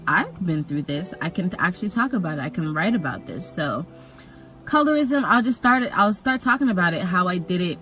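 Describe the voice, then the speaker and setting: A young woman who grew up in Jamaica, telling a personal story in a chatty, animated vlog with jokey asides. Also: lowish voice, a little fast, soft background music. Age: young adult. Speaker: vlogger.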